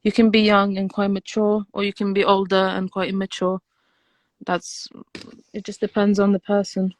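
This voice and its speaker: Soft hesitant woman on a livestream, romanian first language, dry close mic, keeps restarting. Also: medium pitch, completely flat delivery, normal speed, dry recording. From Algeria. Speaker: guest on a live stream. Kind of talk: casual livestream chat.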